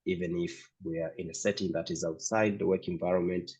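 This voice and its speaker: A man whose first language is Swahili, interviewing a guest with halting, searching questions. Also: low voice, steady tone, darkish tone, slightly rough. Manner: halting, searching for words, many restarts. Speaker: interviewer, host. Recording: slightly dull lo-fi sound.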